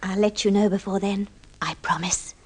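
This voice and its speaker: An actress playing a genteel, easily enchanted and modestly hesitant widow in an old-time radio drama. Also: female voice, mid-range voice, steady tone, moderate pace, darkish tone, slightly rough, close to an everyday voice. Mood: wistful, tender. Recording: vintage radio drama recording.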